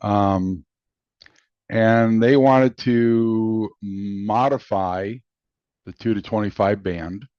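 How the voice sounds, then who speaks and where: A low male voice talks off the cuff, explaining things in a halting, rambling fashion. The sound is dry and close, with a dull, lo-fi finish. It has the feel of a hobbyist's homemade video commentary. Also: a little mellow, no room sound. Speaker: video host and amateur radio hobbyist. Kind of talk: casual unscripted explanatory commentary.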